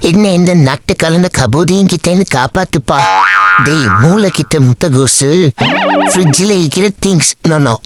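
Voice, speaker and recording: Loud music plays under a bossy gang-boss animal acted out by a comic dubber. The voice scolds slow-witted underlings in exasperated, mock-dramatic bursts, at a middling pitch. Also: lightly acted. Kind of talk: comic dub of animal clips.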